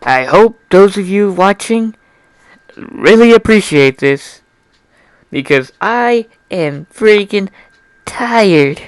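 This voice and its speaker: A man's voice: sits high, lively intonation, slow pace, clean tone, no echo. A man doing an informal dramatic story reading with casual asides, stumbling over words and correcting himself. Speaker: amateur fan fiction reader.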